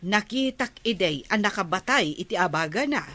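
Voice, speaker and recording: A woman's voice: medium pitch, animated, moderate pace, bright, forceful tone, no echo. A woman playing an inquisitive, gossipy villager in a comedy drama, chatty and questioning. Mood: curious.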